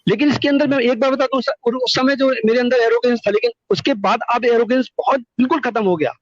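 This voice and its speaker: A man's voice: extremely high pitch, brisk, dry recording. A middle-aged man giving personal testimony in conversation over a phone call, earnest and wordy, repeating words for emphasis. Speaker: call-in guest telling their life story. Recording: dull lo-fi call recording.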